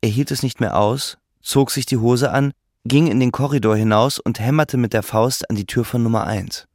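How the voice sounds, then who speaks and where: A man's voice: medium pitch, normal speed, a little mellow, dry recording, clean studio sound. A man reading scripted literary narration as the narrator of a German audio drama.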